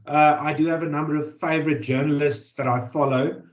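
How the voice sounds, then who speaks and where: A man's voice: fairly high pitch, steady tone, a little fast. A man with a South African accent, a native Afrikaans speaker, answering interview questions as a journalist and author, thoughtful and full of fillers and repeated words. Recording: dull lo-fi audio.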